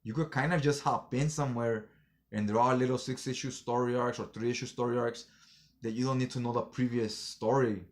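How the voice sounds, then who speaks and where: A man's voice: medium pitch, fairly even intonation, fairly quick. Laid-back talking man, casual vlog reviewer. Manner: slangy, informal fan talk. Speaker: comic book haul reviewer.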